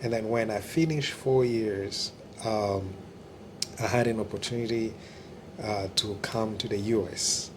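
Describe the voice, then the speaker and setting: A man from the Democratic Republic of Congo, a pastor answering interview questions in a hesitant way with many pauses. His is Kiluba. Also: fairly low pitch, steady tone, background noise. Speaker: pastor, church regional staff.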